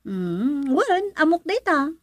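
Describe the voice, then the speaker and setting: Frank young girlfriend-confidante, Filipino accent, very high voice, animated intonation, teasing, dry radio drama sound. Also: slow pace, no room sound, full character voice.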